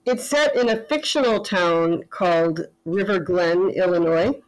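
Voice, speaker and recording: A woman author talking as a podcast guest, thoughtful and measured, now and then pausing on fillers. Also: fairly low pitch, rough recording. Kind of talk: casual interview chat.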